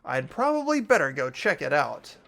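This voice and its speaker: Man as inquisitive schoolboy game protagonist, earnest, expressive intonation, slightly high voice, light music underneath. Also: moderate pace, vocal fry, full character voice. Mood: curious, tense. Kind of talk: acted visual novel dialogue and inner narration. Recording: music behind the voice.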